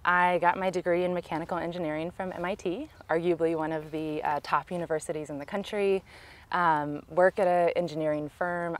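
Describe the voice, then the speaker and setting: A woman with a Californian American accent giving measured, explanatory answers in a conversational interview as a local political candidate, recorded outdoors. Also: deep voice, a bit bright. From California, United States. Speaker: city council candidate, interviewee. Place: outdoors in a park.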